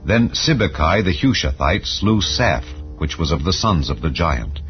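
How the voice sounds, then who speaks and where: A man with a low, deep voice reads scripture as an audiobook narrator. His delivery is solemn, measured and declamatory, shaped for archaic English. The recording is slightly dull and lo-fi, with soft music playing underneath. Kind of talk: solemn dramatized scripture reading. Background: background music.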